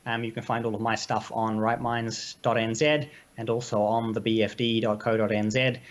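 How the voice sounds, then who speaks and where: A man co-hosting a political talk stream, slightly hoarse from illness and speaking in a halting way with many hesitations. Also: fairly low pitch, flat delivery. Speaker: co-host of a political livestream.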